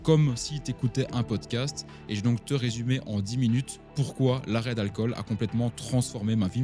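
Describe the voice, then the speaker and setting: A man in his twenties with a Belgian French accent, talking casually and directly to his viewers about his own life. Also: lowish voice. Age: 20s. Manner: chatty, addresses the listener directly, many fillers. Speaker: online entrepreneur and vlogger. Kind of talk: casual talk to camera.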